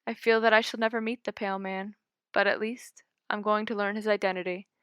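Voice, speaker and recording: A woman reading a scary short story aloud in a measured, dramatic way. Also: medium pitch, little pitch movement, a bit bright, smooth, no room sound, clean studio sound. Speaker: audiobook reader. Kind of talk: scripted story narration.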